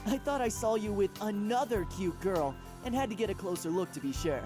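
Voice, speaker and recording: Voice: medium pitch, lively intonation, fairly quick, a little mellow, soft background music, lightly acted. An actor playing a friendly, oblivious teenage boy in an anime-style visual novel game. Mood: playful.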